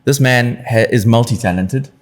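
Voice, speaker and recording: A man's voice: medium pitch, normal speed, slight background noise. A man hosting an interview, speaking with effusive praise and enthusiasm. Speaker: interview host.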